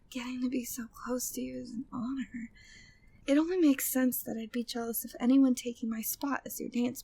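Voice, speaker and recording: High-voiced flirty, devoted maid played by a woman. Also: darkish tone, exaggerated voice. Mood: flustered, jealous. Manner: formal, genteel phrasing, breathy sighs, teasing. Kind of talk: intimate ASMR roleplay, scripted monologue.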